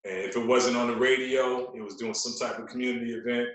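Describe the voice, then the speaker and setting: A very echoey, roomy recording of an American man in his forties talking as a podcast guest. His pitch sits in the middle range, and he tells stories casually, doubling back on words for emphasis. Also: fairly even intonation, fast. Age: 40s. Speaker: radio personality, podcast guest. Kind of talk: casual interview storytelling.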